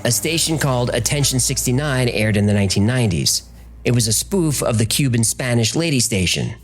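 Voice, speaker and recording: Man narrating with lively pitch over music. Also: a little fast. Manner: conversational, explanatory, poses rhetorical questions. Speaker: host. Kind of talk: scripted documentary narration.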